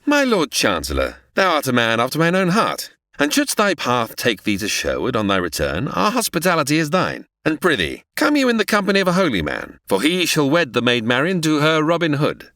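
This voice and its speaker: Gallant outlaw, British accent, theatrical radio adventure, higher-pitched male voice, dry close sound. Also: wildly swooping pitch, fairly quick, few pauses, a bit bright, dry recording. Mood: gallant, hearty. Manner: formal, archaic, grandly courteous. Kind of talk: theatrical old-time radio adventure acting.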